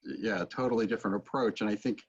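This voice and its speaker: A man in his forties interviewing conversationally over a video call, halting as he thinks aloud. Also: lowish voice. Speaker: interviewer and drama teacher. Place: book-lined home room, video call. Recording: dull lo-fi video call audio.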